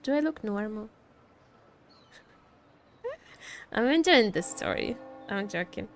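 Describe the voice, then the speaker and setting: A woman's voice: mid-range voice, lively intonation, takes it slowly, soft background music. A woman streaming as a VTuber, chatting casually about a game in a teasing, joking, giggly way. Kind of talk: casual livestream chat reacting to a game.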